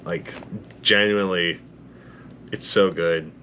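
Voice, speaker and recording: A man with a low bass voice giving an enthusiastic, rambling reaction to a song, full of "like" fillers, tired late at night. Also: steady tone, slow pace, bright, forceful tone, slight background noise. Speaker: music reaction YouTuber. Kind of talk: casual reaction commentary.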